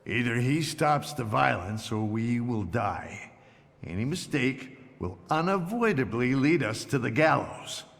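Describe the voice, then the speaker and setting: Gravelly, grim revolutionary. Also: male voice, medium pitch, big pitch swings, measured pace, a bit bright, long reverb tail, heavily acted. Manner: blunt, grim. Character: dour, blunt, fatalistic male revolutionary. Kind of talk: video game voice acting.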